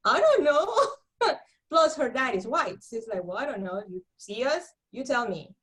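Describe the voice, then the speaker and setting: Animated Honduran woman speaking Spanish-accented English. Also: high-pitched, measured pace, breathy edge. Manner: animated, laughs often, repeats words when worked up. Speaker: guest counselor. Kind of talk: conversational interview.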